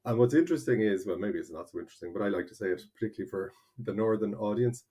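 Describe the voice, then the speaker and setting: An Irish man in his forties talks as an interview guest, low in pitch. He explains at length in an animated way, often restarting his sentences. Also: rapid-fire, slightly rough. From Ireland (North County Kildare). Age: 40s. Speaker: guest, translator. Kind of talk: conversational interview.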